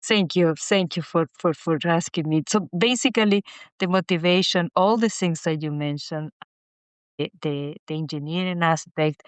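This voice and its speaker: A middle-aged woman from Chile, a guest speaking haltingly with many restarts and repeated words in a casual interview. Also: fairly low pitch, clean tone, no room sound. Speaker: guest, inventor.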